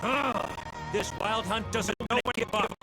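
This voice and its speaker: A man's voice: sits high, normal speed, lightly acted, poor audio. An actor playing a hearty knight commander in a video game, rousing and protective of his troops. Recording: loud music over the voice.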